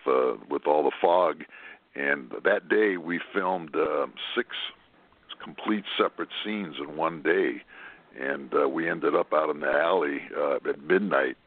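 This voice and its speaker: A man, a film director calling in as a guest, recounting a shoot in a rambling way, with many pauses. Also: a bass voice, dry recording. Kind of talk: casual storytelling on a call-in show. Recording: tinny phone line, dry.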